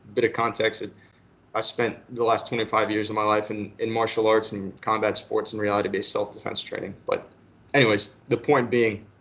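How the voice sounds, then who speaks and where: A low-pitched man in his thirties speaks earnestly to a panel, recounting his path in a prepared talk. He often repeats a word as he restarts a phrase. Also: a bit bright. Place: webinar call.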